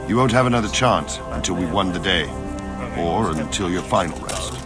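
An actor playing a grizzled older soldier in a video game, blunt, fatherly and resolute, with a measured, commanding delivery. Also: sits low, expressive. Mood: steady, blunt. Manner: measured, plain-spoken, commanding. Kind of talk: dramatic video game cutscene acting. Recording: music behind the voice.

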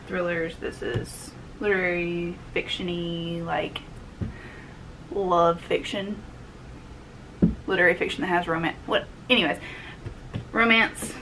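A woman's voice: lowish voice, pushed and bright, a little hiss or hum, rough recording. A young woman with a Southern American accent talking casually as she tidies and shows off items in her small home office, thinking aloud as she works. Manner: chatty, upbeat, thinks aloud while tidying. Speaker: vlogger. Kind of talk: casual cleaning and haul vlog.

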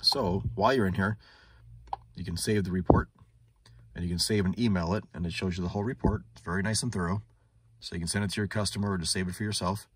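A man's voice: sits low, fairly even intonation, quick pace, a little hiss or hum. A man doing a casual, unscripted product demonstration as an automotive technician, thinking aloud in a conversational way. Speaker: automotive technician reviewing a scan tool. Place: inside a parked car in a shop.

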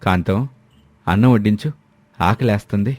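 A man's voice: fairly low pitch, moderate pace, darkish tone, faint music behind, natural voice. A man playing a hardworking, grumbling village husband in a moral story cartoon. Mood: exasperated. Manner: grumbling, complaining.

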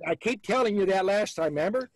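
A man's voice: high-pitched, animated, fast, a little hiss or hum. A man, hosting a beekeeping video call and giving blunt, folksy advice. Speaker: beekeeper host.

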